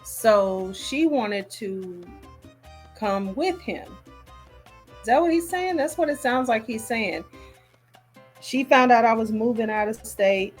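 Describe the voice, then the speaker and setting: A woman hosts a casual reaction commentary over a music bed. Her delivery is emphatic and disapproving, with points repeated for weight. Her voice sits a little high. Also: animated, takes it slowly, pauses often. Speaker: host reacting to a recorded call.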